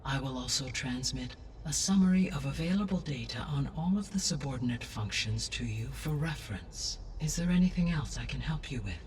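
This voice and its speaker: A woman voicing a calm, supportive artificial intelligence in a video game, with measured, formal and precise speech. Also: rumbling low, recorded from a distance, slight background noise. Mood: calm, helpful. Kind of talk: video game cutscene acting.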